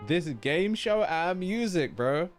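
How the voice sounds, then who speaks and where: A game streamer reacts live in a casual, playful way. The pitch swings widely through mock-dramatic interjections, with game music playing behind. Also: animated, slow.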